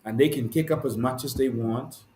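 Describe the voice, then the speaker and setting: Bahamian host, mid-pitched voice, fiery rhetorical delivery, recorded in an echoey room. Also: male voice, normal speed, a little mellow. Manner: passionate, rhetorical and emphatic, with repeated declarations. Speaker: host and activist. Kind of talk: impassioned political commentary.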